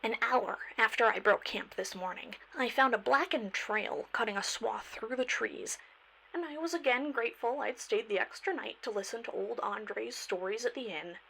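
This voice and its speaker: An actress playing an earnest, awed field researcher, creaky-voiced, narrating an expedition log in an audio drama with precise, formal wonder. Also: female voice, fairly high pitch, very animated, normal speed, bright, forceful tone, heavily acted, poor audio.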